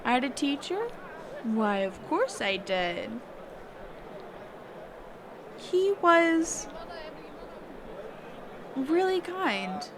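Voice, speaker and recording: A woman's voice: sits high, sing-song, very slow, long pauses, noisy background, heavily acted. A woman playing a kind, reclusive magic teacher in an intimate roleplay audio, speaking hesitantly, flustered and trailing off. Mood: wistful. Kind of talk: intimate character roleplay audio, one-sided conversation.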